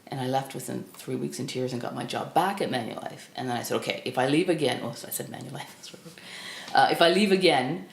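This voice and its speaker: A woman in her fifties from Canada, whose first language was Estonian, recalling her life in a reflective, halting way with many restarts. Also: very deep voice, gravelly. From Burlington, Ontario, Canada. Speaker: film critic guest. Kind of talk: reflective personal storytelling. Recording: echoey room.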